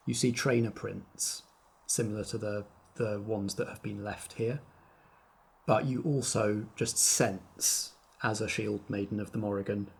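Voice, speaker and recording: A man narrating a tabletop roleplaying game as game master, in a measured, descriptive storytelling manner. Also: fairly low pitch, gravelly, breathy edge. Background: faint background music.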